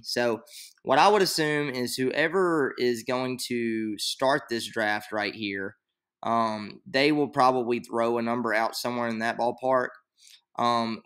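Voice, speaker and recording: A young man walks through a screen-share tutorial in loose, rambling talk full of fillers. His voice is bright and forward, at an ordinary middle pitch. He sits at a desk in a small room, close to his computer mic. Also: clear, resonant tone. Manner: rambling, filler-heavy, thinks aloud. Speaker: fantasy league tutorial maker.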